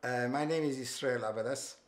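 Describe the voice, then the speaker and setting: An older man with a Hungarian accent, recounting his life story in a halting way, restarting and repeating phrases, in a living room. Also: medium pitch. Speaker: interviewee. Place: bright living room, seated on sofa.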